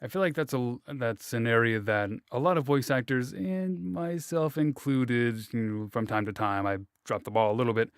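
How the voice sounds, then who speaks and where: A man with an average-pitched voice hosts a relaxed interview. He circles around his questions, stopping and restarting as he works toward the point. The recording is dry and close. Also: normal speed, few pauses, clear, resonant tone, dry recording. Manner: rambling, hesitant, full of fillers and restarts. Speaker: interviewer, host. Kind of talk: casual interview chat.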